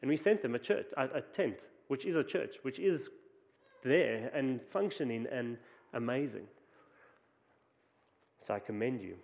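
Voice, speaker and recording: A man's voice: mid-range voice, lively intonation, measured pace, vocal fry, long pauses, a little mellow. A man with a South African accent, preaching a conversational sermon with frequent restarts. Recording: long hall reverb.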